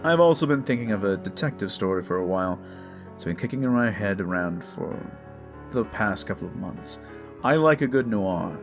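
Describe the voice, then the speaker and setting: A man's voice: on the low side, lively intonation, moderate pace, vocal fry. Casual man with a cold, music behind. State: under the weather with a sore throat and runny nose. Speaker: audio roleplay creator. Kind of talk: casual unscripted update to listeners.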